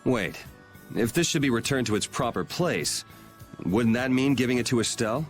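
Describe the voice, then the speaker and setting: A man's voice: medium pitch, expressive, moderate pace, soft background music, lightly acted. An actor playing a cool young swordsman in an anime-style game, with a dry, casual, determined manner.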